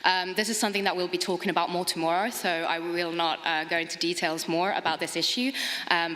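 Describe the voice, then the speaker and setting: A woman from Finland, giving a measured conference presentation with frequent hesitant fillers. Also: lowish voice, fairly bright tone. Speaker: conference speaker, project officer. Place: conference hall. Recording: long reverb of a large hall.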